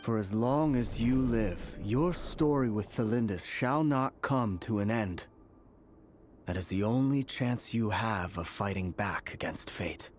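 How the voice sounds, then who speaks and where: An actor playing a grave, mysterious, weary prisoner in a video game, speaking solemnly and deliberately. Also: male voice, lowish voice, a little mellow, soft background music, close to an everyday voice. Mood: solemn, warning. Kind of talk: scripted video game dialogue.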